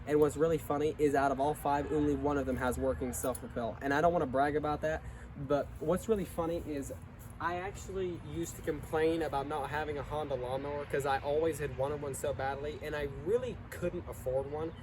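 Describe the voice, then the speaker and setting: A man's voice: on the high side, fairly even intonation, brisk, a little mellow, slight background noise, rough recording. A young man with a congested voice from illness or allergies, casually reviewing his lawn mower in a hesitant, rambling way full of fillers and restarts. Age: young adult.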